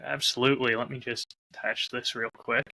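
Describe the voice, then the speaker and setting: A man's voice: medium pitch, level tone, brisk, vocal fry, bright, forceful tone, dry recording. A man talking casually as a host, showing off a bottle while recording on a close lav mic. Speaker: podcast host and whiskey audio drama creator.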